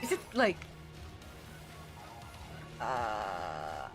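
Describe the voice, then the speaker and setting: A woman's voice: very deep voice, huge pitch swings, drawn-out words, over music. A young woman with a light Swedish accent, casually chatting with viewers and giggling while she plays a game on stream. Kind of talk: casual live-stream chat while gaming.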